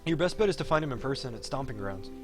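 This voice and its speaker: A man's voice: mid-range voice, lively intonation, quick pace, lightly acted. A man voicing a wry, cocky young pro gamer from a visual novel, teasing and breezy. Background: game music.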